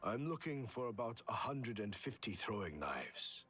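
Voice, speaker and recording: A deep-voiced, courteous old martial artist. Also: male voice, sing-song, music in the background. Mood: composed. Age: older. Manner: formal, measured, polite. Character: calm, courteous old martial artist who fights bare-handed. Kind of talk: scripted game voice acting.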